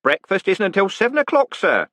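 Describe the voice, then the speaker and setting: A man's voice: high voice, wildly swooping pitch, a little fast, a bit bright, dry recording, voice pushed up, exaggerated voice. A man voicing a cheerful, deferential prison warden who rambles on and calls everyone sir. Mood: chatty.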